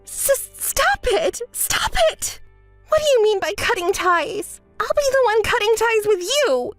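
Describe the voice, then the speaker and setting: A woman voicing a spoiled, entitled young woman who is hysterical and self-pitying, with a shrill, whiny way of talking. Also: expressive, moderate pace, faint music behind. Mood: furious. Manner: shrill, whiny and pleading, flaring into shouting. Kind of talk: dramatized story voice acting.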